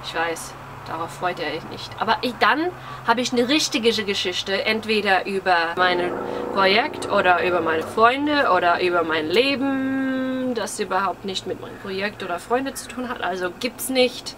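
A woman's voice: medium pitch, moderate pace. Hesitant young woman vlogging outdoors, noisy background. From Germany, living in New York. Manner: hesitant, searching for words, self-deprecating. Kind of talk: casual, rambling vlog chat to camera. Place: outdoors on a porch among trees. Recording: outdoor recording with clear ambient and traffic noise. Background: outdoor ambience, passing cars.

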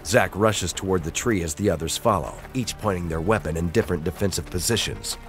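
A man's voice: sits low, a little fast, faint music behind. A man reading scripted dramatic narration as the narrator of an audio drama.

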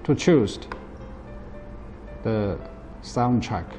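A man in his thirties, a non-native English speaker, giving a plain, halting, step-by-step product demonstration. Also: on the low side, expressive, over music. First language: not English. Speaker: product presenter. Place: indoor office at a table.

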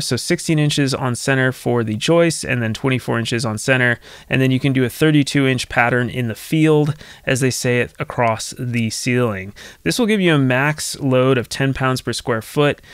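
A North American man with a smooth, clean voice presents a how-to tutorial. He explains in a conversational way, recorded close and dry at a desk microphone. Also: medium pitch, no room sound. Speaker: soundproofing instructor and video host. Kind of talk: instructional screen-share tutorial. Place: indoor home studio, close up. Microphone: desk microphone close to the mouth.

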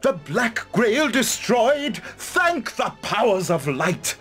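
A solemn fantasy-game sage. His high voice rises and falls widely in formal, portentous speech over faint music. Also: very animated, takes it slowly, fairly bright tone, exaggerated voice. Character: solemn, learned male sage at court. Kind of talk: fantasy game cutscene acting.

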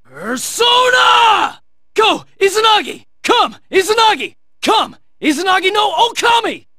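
A man's voice: very high voice, unhurried, dry recording, shouting, studio quality, heavily acted. An actor playing a cool, resolute teenage swordsman in a fighting video game, speaking in terse, clipped battle lines. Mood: shouting, fierce. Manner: terse, clipped battle barks and calm taunts.

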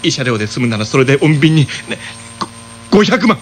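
Man as a cocky young suitor, swooping pitch. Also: sing-song, a little fast, a little hiss or hum, natural voice. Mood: panicked. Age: young adult. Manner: boastful, wheedling. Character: cocky, earnest young suitor, easily rattled. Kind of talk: comic radio drama acting.

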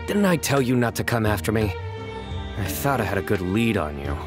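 A man voicing a stern, reserved young desert lawman in a video game, solemn, terse and measured. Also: lowish voice, very animated. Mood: guarded. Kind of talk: video game character acting. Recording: music behind the voice.

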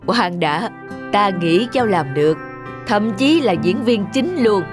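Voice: medium pitch, lively intonation, slow. Kind cartoon boss type, reassuring, encouraging, music behind. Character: kindly grown male film company director. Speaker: voice actor in a cartoon. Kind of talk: animated moral tale dialogue. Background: background music.

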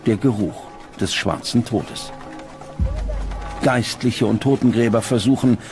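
A man reading scripted documentary narration in standard German, with a measured, grave delivery. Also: medium pitch. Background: background music.

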